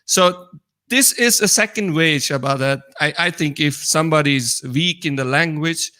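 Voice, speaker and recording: Man, Pakistani accent, slightly high bright voice, earnest explanations, halting English, casual podcast chat. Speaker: podcast co-host. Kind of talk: casual personal conversation.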